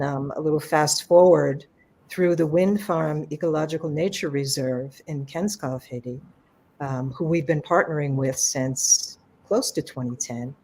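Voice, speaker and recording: A woman's voice: low-pitched, warm tone, low-quality recording. A woman in a casual online conversation, speaking in an enthusiastic, rambling way about a colleague and partner work. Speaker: co-presenter.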